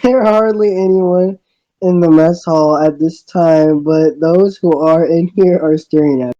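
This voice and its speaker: A narrator reading a visual novel aloud in a halting way, voicing the lines of dialogue, on a dull microphone. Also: medium pitch, dark tone.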